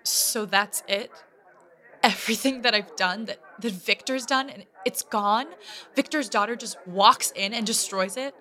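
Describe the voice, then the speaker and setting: A woman's voice: mid-range voice, measured pace, bright, forceful tone. A woman playing a despondent, bitter bar owner in an audio drama. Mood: upset, despairing. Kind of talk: naturalistic audio drama acting.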